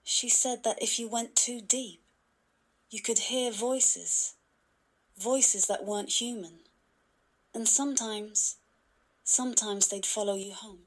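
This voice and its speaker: A woman playing a local resident recalling eerie old tales in a scripted witness interview, with deliberate pauses. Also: fairly high pitch, steady tone, low-quality recording. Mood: eerie, uneasy.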